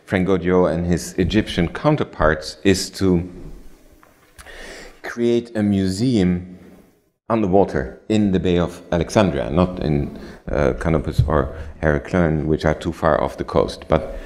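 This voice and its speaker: A man at a lectern in a darkened lecture hall answers audience questions in a measured way, pausing often with ums and restarts. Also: low-pitched, darkish tone. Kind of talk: lecture Q&A, explanatory.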